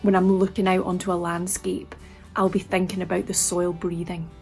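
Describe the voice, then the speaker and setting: A woman's voice: lowish voice, flat delivery, moderate pace, vocal fry, darkish tone, soft background music. A Scottish woman from Caithness giving reflective interview talk. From Caithness, northern Scotland. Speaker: project team member.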